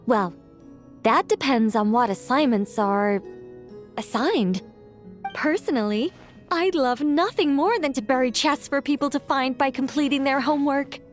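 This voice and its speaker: A woman playing a whimsical young witch in a video game, self-assured and breezy, musing in a gently theatrical way. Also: sits high, measured pace, soft background music. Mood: pleased, playful.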